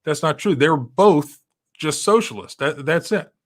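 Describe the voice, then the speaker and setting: A man's voice: on the high side, expressive, unhurried. Middle-aged male host, rambling and emphatic in casual commentary. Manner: rambling, many restarts and fillers, emphatic. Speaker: civil rights lawyer hosting a live stream. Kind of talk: casual live-stream commentary.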